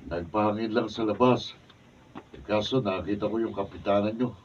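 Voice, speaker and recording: An actor plays a blunt, protective suitor in a Filipino radio drama. His voice is on the low side, heard through a dull, slightly distant mic. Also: fairly even intonation, moderate pace, close to an everyday voice. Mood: grumpy, blunt. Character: bold, blunt male suitor, protective cousin. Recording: dull distant mic, faint room noise.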